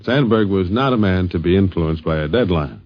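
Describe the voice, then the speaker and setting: A man's voice: low voice, animated, normal speed, no echo. A man narrating a scripted 1950s radio documentary and introducing its speakers. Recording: muffled, band-limited 1950s radio recording.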